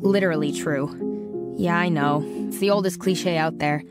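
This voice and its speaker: A woman's voice: deep voice, steady tone, a little slow, over loud music. An actress playing a bookish, wry teenage schoolgirl in an audio drama. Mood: wry, reflective. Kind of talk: scripted first-person audio drama narration.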